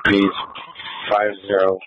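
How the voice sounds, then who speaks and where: A man's voice: lowish voice, monotone, slow pace, background noise. A man speaking as a police officer, giving clipped, matter-of-fact pursuit reports over a two-way radio. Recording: dull, lo-fi police scanner radio.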